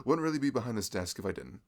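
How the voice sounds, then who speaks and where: A man's voice: lowish voice, husky. A young man doing a chatty audio roleplay as a friendly shopkeeper, laughing often between lines. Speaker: ASMR roleplay creator.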